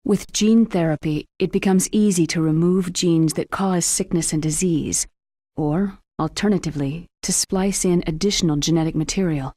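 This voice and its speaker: A woman voicing a composed, clinical scientist in a video game, explaining calmly and precisely. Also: lowish voice, moderate pace, no echo, studio quality, natural voice.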